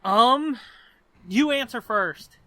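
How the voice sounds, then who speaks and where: A man's voice: very high voice, expressive, slow, pushed and bright. A man co-hosting a podcast in casual chat, crude and swearing, laughing often. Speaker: podcast co-host.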